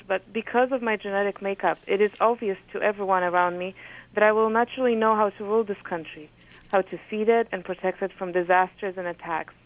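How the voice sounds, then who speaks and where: A woman whose first language is Polish, an author reading from her book and talking thoughtfully with many pauses. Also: mid-range voice, no echo. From Poland. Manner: thoughtful, hesitant with frequent ums. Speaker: author, guest. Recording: phone-like radio audio.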